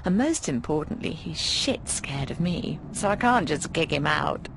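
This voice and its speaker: Casting: middle-aged British landlady, fond and cheeky, animated delivery, game dialogue over noisy ambience. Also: low-pitched, moderate pace, vocal fry. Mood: blunt, scornful. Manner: affectionate, frank, cheeky, often calls people darling. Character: warm, bawdy middle-aged British landlady of a boarding house. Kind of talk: video game voice acting.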